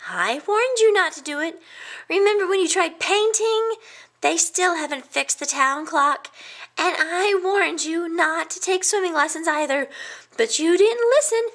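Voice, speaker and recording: A woman doing a squeaky, fretful porcupine. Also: a little slow, fairly bright tone, heavily acted.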